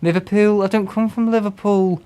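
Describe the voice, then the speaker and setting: A man's voice: high voice, steady tone, normal speed, warm tone, clear, resonant tone. A young man, chatting casually and self-mockingly while streaming a flight sim game and reading out chat. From England. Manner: chatty, self-mocking, reads chat aloud and laughs often.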